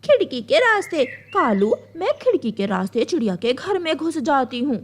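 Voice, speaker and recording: A woman voicing a lazy, scheming female crow in a cartoon, sly and excitable. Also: high voice, normal speed, a little hiss or hum. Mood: excited.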